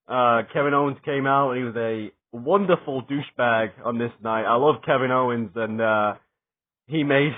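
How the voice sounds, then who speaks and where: A young adult man giving a rambling, excited spoken review, swearing often and stumbling over fillers and restarts. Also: medium pitch. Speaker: wrestling reviewer. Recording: tinny phone-like sound.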